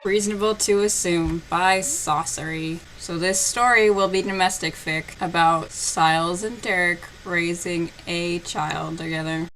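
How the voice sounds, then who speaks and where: A woman's voice: lowish voice, fairly even intonation, measured pace, few pauses, slight background noise, low-quality recording. A woman giving a mocking, sarcastic dramatic reading of a story, with her own commentary as host. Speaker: host reading fan fiction aloud. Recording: loud music behind the voice. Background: music.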